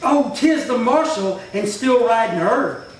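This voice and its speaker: A man playing a proud, hot-headed cowboy with a rough, folksy way of talking, in a Western radio drama. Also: very high-pitched, lively intonation, a little slow. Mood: defiant. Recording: echoey hall, distant mic, faint noise.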